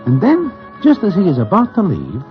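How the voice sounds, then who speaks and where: Wary New sidekick and narrator, radio drama, formal phrasing, high voice, music behind. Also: male voice, normal speed. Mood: storytelling. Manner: formal wording without contractions, deadpan storytelling. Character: wary, loyal Broadway sidekick who narrates the tale. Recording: muffled vintage radio recording with music.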